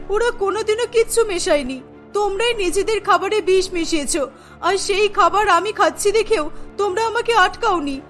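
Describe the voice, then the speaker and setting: A woman's voice: extremely high pitch, fairly bright tone. A woman playing a stern, emphatic older mother-in-law in a cartoon. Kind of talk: cartoon dialogue.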